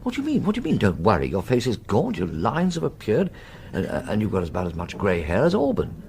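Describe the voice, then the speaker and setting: A low, very animated man in a radio play, a worried, exasperated friend. He is middle-aged and blunt, pressing his points emphatically and repeating them, with faint noise behind. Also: fast, vocal fry, heavily acted. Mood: shocked, insistent. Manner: urgent, emphatic, repeats himself. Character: concerned, exasperated senior college friend, blunt and insistent. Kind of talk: radio drama acting.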